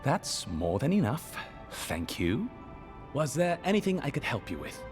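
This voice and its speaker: An actor playing a courteous, scholarly aide in a video game, speaking in a formal, precise and deliberate manner. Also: male voice, medium pitch, huge pitch swings, over music. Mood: curt, embarrassed. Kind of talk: game dialogue, measured exposition.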